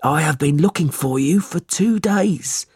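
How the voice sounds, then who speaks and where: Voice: medium pitch, expressive, unhurried, darkish tone, husky, dry recording, clean studio sound, pitched above the performer's own voice. A narrator voicing a bent old beggar man in a storybook, hungry, sick and pleading. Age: older. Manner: pleading, needy.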